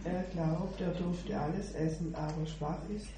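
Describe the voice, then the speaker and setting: A woman talks at a church gathering, pausing often on "äh" as she searches for words. Her voice carries from far off across a very echoey room, with constant noise in the background. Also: sits low, fairly even intonation, warm tone. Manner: hesitant, many "äh" fillers, laughs easily. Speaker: church member sharing. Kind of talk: informal devotional sharing.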